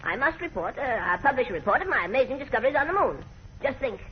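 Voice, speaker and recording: An actor playing an absent-minded, excitable old professor in 1940s radio style, befuddled and repeating himself. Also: male voice, extremely high pitch, fast talker. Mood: excited, absorbed. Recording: muffled, noisy 1940s radio recording.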